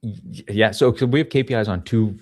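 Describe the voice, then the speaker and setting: A man's voice: fairly low pitch, brisk, a little mellow, no echo. A man in his thirties talking casually as an interview guest, explaining things into a podcast microphone in a small room. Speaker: guest, head of ecosystem at a crypto project. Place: small home room with studio gear, indoors. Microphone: podcast microphone on a boom arm.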